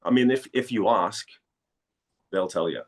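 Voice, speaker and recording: A man from Denmark chatting casually as an interview guest, haltingly searching for words and repeating phrases. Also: fairly low pitch. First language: Danish.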